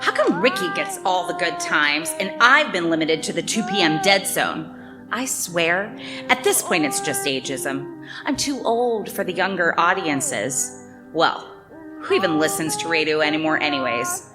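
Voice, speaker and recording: Bitter, low-voiced middle-aged radio woman. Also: sing-song, unhurried, music in the background. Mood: bitter grumbling. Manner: grumbling, sardonic. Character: bitter, aging female radio co-host, self-pitying and irritable. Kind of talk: radio play acting.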